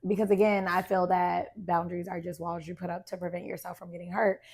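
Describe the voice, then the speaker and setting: A young adult woman with a raspy voice, co-hosting a podcast in casual, blunt chat. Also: lowish voice, flat delivery, fast talker, a little mellow. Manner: blunt, laughing, unapologetic. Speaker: podcast co-host.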